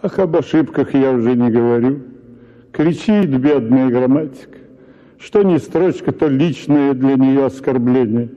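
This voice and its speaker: An actor reading a story for radio as a husband, lecturing in an exasperated tone. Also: male voice, medium pitch, unhurried, dark tone. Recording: tinny radio broadcast with hall reverb.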